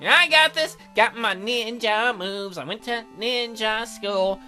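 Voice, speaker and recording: Gaming co-host, piercingly bright, playing out visual novel dialogue with mock-indignant banter over music. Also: mid-range voice, takes it slowly. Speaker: let's play co-host voicing a character. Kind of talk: acting out game dialogue with banter. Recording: background music under voice.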